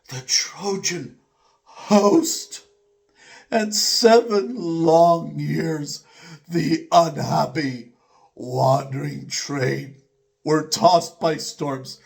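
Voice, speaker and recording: A man giving a dramatic, declamatory recitation of classical epic poetry, with drawn-out pauses between phrases. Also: a little high, gravelly. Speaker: poetry reader.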